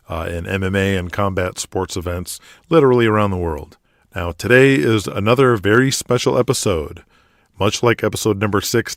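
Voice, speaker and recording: A man with a lowish voice works as podcast host and narrator. He delivers scripted announcements and storytelling, recorded close with very little room sound. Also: no echo.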